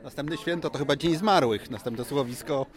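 A man's voice: on the high side, a little fast, a faint crowd. A man co-hosting a podcast, asking casual questions with teasing, tongue-in-cheek banter. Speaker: podcast co-host, interviewer.

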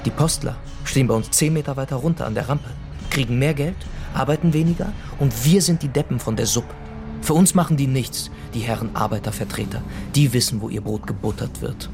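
A young man , played by an actor, as an embittered delivery worker in a radio crime drama. His mid-range voice is creaky, and he needles with sarcasm and defiance in loose, colloquial, natural-sounding speech. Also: medium pitch, natural voice. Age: young adult.

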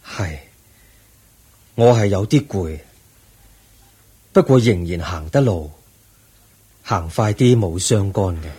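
An actor playing an aged, serene Buddha in a Cantonese radio drama, with a Hong Kong accent and a solemn, measured, husky delivery. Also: male voice, low-pitched, lively intonation, dark tone, heavily acted. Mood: calm, firm. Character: aged, serene Buddha, eighty, weary yet calm teacher.

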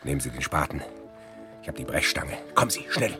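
Radio drama casting: furtive corpse seller, extremely low voice, swinging from clipped murmur to whisper, music behind. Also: male voice, sing-song, brisk. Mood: hushed, urgent. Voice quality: gravelly, often dropping into breathy whispers. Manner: conspiratorial, clipped, coaxing. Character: furtive, sly male grave robber selling corpses.

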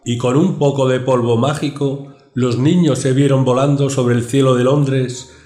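A man's voice: medium pitch, fairly even intonation, moderate pace. A man narrating a children's story as scripted storybook narration. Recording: echoey voice over soft music.

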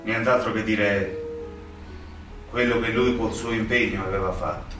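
An actor playing a stern, idealistic young magistrate haunted by guilt in a radio drama, with emphatic, deliberate phrasing and dramatic pauses. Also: male voice, lowish voice, steady tone, natural voice. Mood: anguished, resolute. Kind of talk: theatrical radio drama acting. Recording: very echoey stage, distant mic, music behind.

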